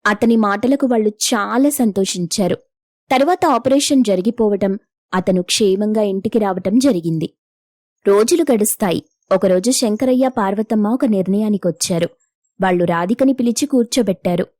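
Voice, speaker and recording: మెత్తని, వెచ్చని గొంతుతో కథ చెప్పే స్త్రీ. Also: female voice, mid-range voice, moderate pace, darkish tone, dry recording, clean studio sound. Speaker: story narrator. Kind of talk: scripted moral story narration.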